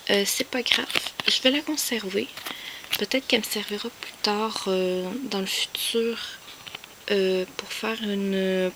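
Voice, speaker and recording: A woman with a Québécois French accent, whispering softly as she shows off her collection, with many hesitant fillers. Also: mid-range voice, noisy background. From Quebec. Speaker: collector showing finds. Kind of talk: soft whispered show-and-tell.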